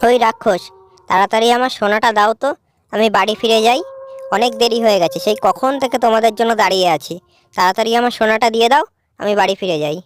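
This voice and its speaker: A woman's voice: fairly high pitch, quick pace, a little hiss or hum, natural voice. Woman as a bossy, snippy young cartoon woman. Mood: demanding. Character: rude, greedy, jealous elder daughter. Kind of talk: cartoon story voice acting.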